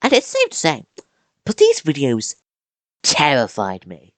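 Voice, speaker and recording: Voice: medium pitch, wildly swooping pitch, unhurried, no room sound. A YouTuber narrating a scripted video essay with casual asides and dramatic emphasis on key words.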